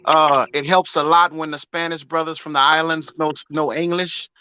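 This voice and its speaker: A man's voice: on the high side, little pitch movement, normal speed, pushed and bright. A man calling into a live show, friendly and encouraging, calling everyone brother, heard over a dull lo-fi call line with faint noise. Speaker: caller.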